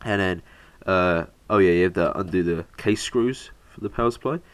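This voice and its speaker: A young man with a slight cold, narrating a PC hardware install in a halting, casual way with lots of fillers. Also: low-pitched, clear, resonant tone, no echo. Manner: halting, many fillers, talks while working. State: has a cold, slightly bunged up. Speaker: PC hobbyist showing a hardware install.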